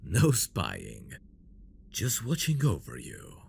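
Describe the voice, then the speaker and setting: Man acting a very deep, slow fantasy villain. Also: wildly swooping pitch, darkish tone, a little hiss or hum, heavily acted. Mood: tender, longing. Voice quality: low gravelly murmur, often dropping to breathy whispers. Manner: slow, teasing, seductive delivery. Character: male fantasy villain, possessive, teasing, devoted to his bride. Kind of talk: intimate ASMR roleplay audio drama.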